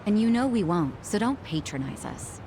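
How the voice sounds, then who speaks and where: A woman's voice: medium pitch, sing-song, moderate pace, dark tone, a little hiss or hum. Sarcastic, no-nonsense female game companion. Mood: irritated, curt. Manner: sarcastic, blunt, wary. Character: sharp-tongued, skeptical, no-nonsense adult female companion. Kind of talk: English video game dub acting. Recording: music behind dialogue.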